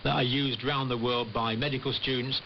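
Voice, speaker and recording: A man's voice: mid-range voice, little pitch movement, fairly quick. A man, explaining his work in an interview. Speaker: interviewee, retired nurse lecturer. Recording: muffled shortwave radio, faint hiss.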